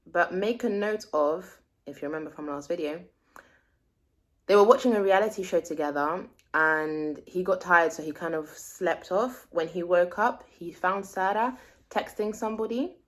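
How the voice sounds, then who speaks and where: A woman whose first language is French, chatting casually to viewers and thinking aloud as she works through a book in a foreign language. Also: fairly low pitch. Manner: chatty, thinks aloud while puzzling out the text. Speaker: language-learning vlogger. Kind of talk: casual reading vlog.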